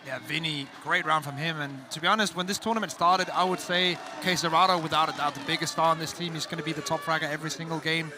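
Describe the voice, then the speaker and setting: A man with a Danish accent, a native Danish speaker, casting a game as an esports commentator, excited and analytical. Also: on the high side, fast talker, hardly any pauses, noisy background. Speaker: esports caster.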